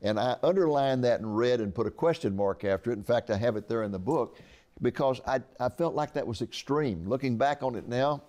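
A man's voice: mid-range voice, fairly quick, a little mellow. Earnest man in his eighties. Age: 80s. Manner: earnest, repeats and restarts words. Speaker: author guest. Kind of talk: conversational interview.

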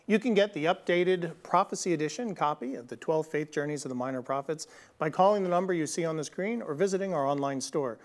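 A man co-hosting a Bible prophecy TV program, teaching in a conversational, animated way and voicing quoted people casually. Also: a little high, fast.

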